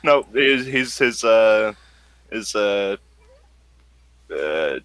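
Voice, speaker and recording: A man acting as game master, narrating a tabletop game in a casual, halting way. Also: lowish voice, lively intonation, a bit bright, slight background noise.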